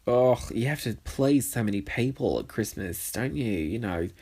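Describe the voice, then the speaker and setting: A man's voice: moderate pace, husky. A young man with a nasal voice, hosting a casual solo podcast with cheeky, sweary chatter. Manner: chatty, cheeky and swearing, with lively pitch swings. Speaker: podcast host. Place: bedroom.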